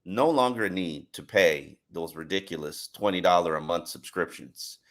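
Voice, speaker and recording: Man, very low voice, sports podcast host, analytical, emphatic, casual chat. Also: moderate pace, fairly bright tone. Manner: analytical, emphatic, plugs the show.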